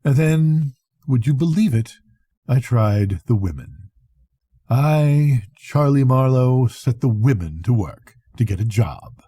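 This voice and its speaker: An older man reads a literary story in a very dark, soft-edged voice with a husky grain. He speaks like a grandfather at bedtime, conversational and expressive, letting phrases breathe. The sound is dry and close, with no room around it. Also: mid-range voice, takes it slowly, dry recording. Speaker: grandfather reading bedtime stories. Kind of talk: expressive literary audiobook reading.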